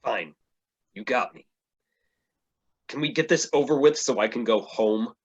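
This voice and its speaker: An actor playing a boastful, captive male superhero in a staged play reading, with a pompous, halting delivery. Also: mid-range voice, slightly rough, dull audio. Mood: resigned.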